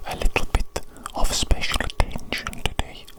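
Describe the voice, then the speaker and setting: A woman whispering an ASMR roleplay as a doting evil doctor, soothing and often repeating soft phrases. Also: normal speed. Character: doting evil doctor tending their monstrous creation. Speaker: ASMR performer.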